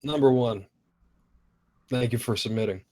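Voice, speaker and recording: A man's voice: mid-range voice, unhurried, a little mellow. A man giving casual script feedback in an online group call, point by point, often searching for his words. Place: online voice chat.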